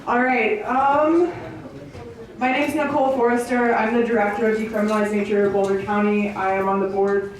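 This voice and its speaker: A woman is welcoming people to a gathering as its host. Her delivery is halting and unscripted, with little rise and fall in pitch. The room echoes heavily, and she sounds some way from the mic with faint noise around her. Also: steady tone, recorded from a distance. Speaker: event host, organisation director. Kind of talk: informal welcome speech to a gathering. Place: public meeting in an echoey indoor venue.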